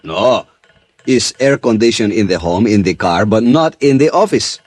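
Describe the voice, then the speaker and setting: A man playing a relaxed, courteous Venezuelan police chief with a Spanish accent in a 1950s radio drama, haltingly searching for English words. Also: on the low side, no echo. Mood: courteous, evasive.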